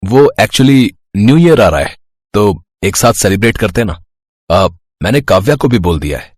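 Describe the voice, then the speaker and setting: A well-bred, smitten young man in a cartoon story. He sounds friendly and hesitant, with a low, husky tone in a dry recording. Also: very animated, normal speed, dry recording. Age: young adult. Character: polite, well-mannered rich young man, shyly smitten.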